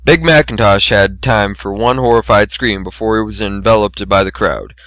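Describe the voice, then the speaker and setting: A man's voice: on the low side, normal speed, pushed and bright, no room sound. A man reading a story aloud as scripted narration, in a dramatic style. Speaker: amateur reader of fan fiction.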